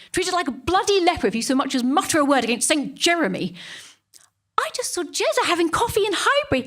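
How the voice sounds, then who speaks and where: A middle-aged, middle-class English churchgoing woman in a comic stage monologue. Her voice sits high and swings dramatically up and down. She confides in the listener with snobbish, self-righteous indignation and heavy emphasis.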